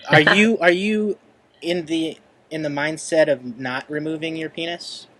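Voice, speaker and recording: Late-30s male podcast host, a bit high-pitched, loose and jokey, rambles through his questions. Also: steady tone, a little slow, bright, forceful tone. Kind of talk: casual interview chat.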